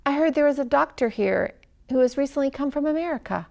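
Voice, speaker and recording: A woman's voice: high-pitched, animated, quick pace, creaky, a little mellow, muffled recording, pitched above the performer's own voice. Woman voicing a troubled, courteous husband, formal and pleading. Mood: worried, courteous. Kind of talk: storybook character reading.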